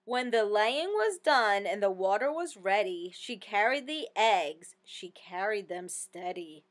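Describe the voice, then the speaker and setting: A woman reading a rhyming children's story aloud with expressive, sing-song delivery. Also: medium pitch, a little slow. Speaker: storybook reader.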